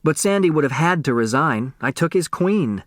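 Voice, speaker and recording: A man's voice: a little high, expressive, moderate pace, no echo, clean studio sound, voice pushed up, lightly acted. Earnest, mournful teenage boy acted by a man.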